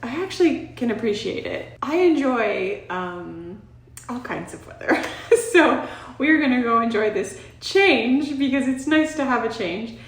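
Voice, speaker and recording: A middle-aged woman with a somewhat high voice talks casually to her vlog camera. She rambles in a chatty way, circling around her thoughts and often giggling as she goes. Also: expressive, unhurried, breathy edge. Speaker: vlogger.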